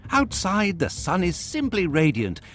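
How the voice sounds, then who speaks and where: A long-suffering gentleman in a game cutscene. The voice is a little high for a man and highly animated. His delivery is formal, polite and earnest. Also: measured pace, full character voice. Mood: exasperated, pleading. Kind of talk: video game cutscene acting.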